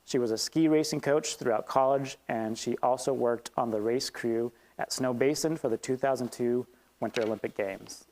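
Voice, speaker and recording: A man hosts a community talk evening, reading prepared remarks in a steady manner. When he thanks sponsors and introduces the guest, he talks more loosely, with a few ums. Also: mid-range voice, normal speed, slight background noise. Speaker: event host. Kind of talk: reading a land acknowledgement and introducing a speaker. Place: event hall with audience.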